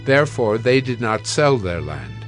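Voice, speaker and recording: Male narrator, mid-pitched, scripture storytelling for audio drama, faint music underneath. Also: very animated, moderate pace.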